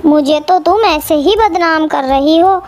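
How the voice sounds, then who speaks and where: A woman's voice: high-pitched, measured pace, a little mellow, soft background music. A woman voicing a lazy, pampered husband crow, whiny and defensive, in a children's cartoon. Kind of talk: Hindi children's cartoon acting.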